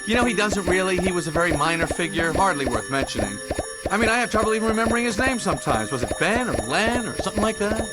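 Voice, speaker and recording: A man voicing a flustered, talkative young male bee in an animated comedy game. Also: high-pitched, quick pace, over loud music. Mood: flustered, evasive.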